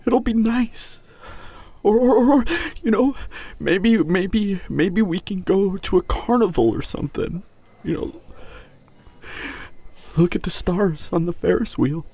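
A man playing a tender, grieving young hunter boyfriend in an intimate, emotional audio drama, halting and husky, often dropping toward a whisper. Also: high voice, warm tone, heavily acted. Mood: hopeful, pleading. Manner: halting, emotional, long pauses. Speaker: audio roleplay voice actor.

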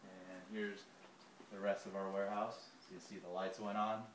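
A man's voice: low-pitched, level tone, normal speed. A man in his thirties walks through a large indoor parts warehouse, filming on a handheld phone and listing items as he goes. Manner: lists items while walking, filming handheld. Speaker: shop tour guide. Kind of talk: casual walking shop tour. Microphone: handheld phone camera. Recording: echoey warehouse, faint hum.

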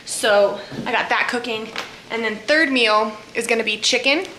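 A woman's voice: a little high, normal speed, noisy background. Flustered chatty young woman in an echoey kitchen. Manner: self-deprecating, flustered, chatty. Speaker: cooking vlogger. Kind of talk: casual cooking vlog. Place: small home kitchen, indoors. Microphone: camera across the kitchen. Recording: echoey kitchen, far camera mic.

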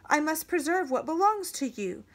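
A woman's voice: sits high, very animated, moderate pace, voice pushed up. A woman voicing a frightened young prince who speaks out in a firm, ringing voice. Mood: earnest. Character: frightened young prince, boy finding courage.

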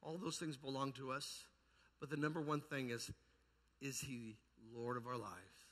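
Male pastor, forceful bright delivery, fervent evangelical preaching with emphatic repetition, amplified in a long-reverb church hall. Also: medium pitch, steady tone, normal speed. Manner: puts rhetorical questions to the congregation. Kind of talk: charismatic evangelical sermon. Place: large indoor church hall.

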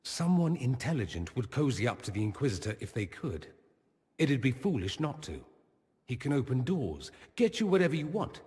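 Man voicing a sardonic flirtatious mage, lively pitch, long reverb, video game. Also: moderate pace, natural voice. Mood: teasing, wry. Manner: dry, teasing, sardonic. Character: witty, flirtatious male mage from the Imperium.

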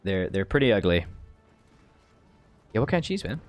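A man in his thirties with a gravelly voice, livestreaming a strategy game from home, chatty and joking while reading chat and thanking followers. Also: fairly low pitch, sing-song, moderate pace, dry recording, faint music behind. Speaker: streamer. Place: home streaming room, indoors.

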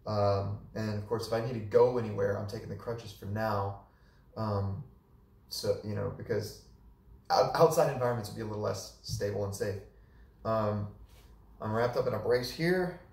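A young man with a hoarse, heavily gravelly voice talks casually, with many fillers and restarts. He sits low in pitch and stands back from the camera, so the small room adds an echoey, distant sound. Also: normal speed. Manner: relaxed and chatty, with many fillers. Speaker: vlogger. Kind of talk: casual recovery update. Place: small living room indoors. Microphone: camera a few meters away.

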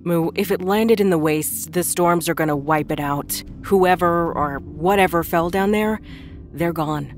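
A woman's voice: lowish voice, a little slow, faint music behind, lightly acted. A woman acting in an audio drama as a sceptical, practical worker with a casual, clipped way of talking. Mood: sceptical, reluctant.

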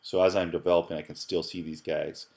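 Very low-voiced casual male tutor. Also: level tone, a little fast, darkish tone. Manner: casual, thinking aloud with fillers and repeated words. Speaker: professor teaching app programming. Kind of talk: screencast tutorial narration.

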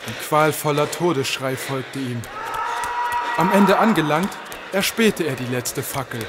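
A man's voice: on the high side, measured pace, a loud crowd, heavy background noise. A man narrating an audio drama as a scripted, suspenseful story in a portentous manner. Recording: loud music under the voice.

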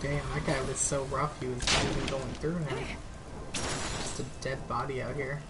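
A woman's voice: a bass voice, little pitch movement, moderate pace, very noisy. A woman streamer chatting casually while playing a co-op game. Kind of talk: casual co-op gameplay chat. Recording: loud game sound behind the voice. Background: loud game effects and ambience.

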